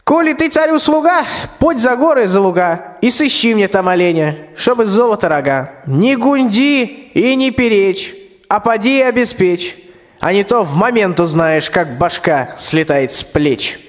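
A man's voice: sits high, expressive, a little slow. A man playing a bossy, threatening, suspicious tsar in a rhymed fairy-tale radio play. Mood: imperious, threatening. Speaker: student radio-play actor. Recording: reverberant hall, music underneath.

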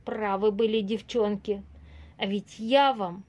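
A woman's voice: medium pitch, steady tone, slow pace, darkish tone. An actress in an amateur audio play as an exasperated but kind-hearted single woman, earnest and fretful.

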